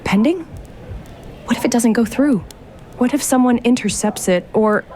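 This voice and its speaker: A woman's voice: a little high, moderate pace, music in the background, close to an everyday voice. An actress playing an anxious, jittery young woman in an audio drama, firing off panicky questions.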